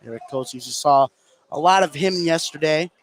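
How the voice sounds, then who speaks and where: A man giving excitable live play-by-play radio commentary on a basketball game, his voice rising on big plays. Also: a little high, normal speed, low-quality recording. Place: live at a high school basketball gym. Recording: clear background noise, gym ambience.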